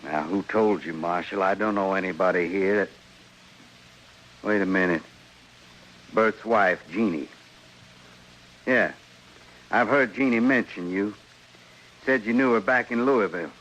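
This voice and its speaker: A man's voice: low voice, a little slow, pauses often, a bit bright, poor audio. An actor with an American accent playing a cocky, hot-tempered gunman in an old-time radio western, taunting and insolent.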